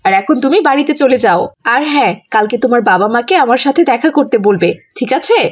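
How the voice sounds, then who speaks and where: A woman's voice: fairly high pitch, lively intonation, fairly quick, husky. A woman voicing a strict female schoolteacher in a children's cartoon, instructing like someone used to being obeyed. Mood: angry scolding. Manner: scolding, instructive, ends orders with check questions.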